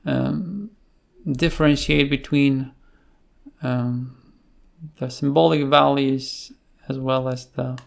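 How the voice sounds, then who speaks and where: A man giving an unscripted tutorial explanation in a halting way, with many filled pauses. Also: medium pitch, steady tone, vocal fry. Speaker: programming tutorial presenter.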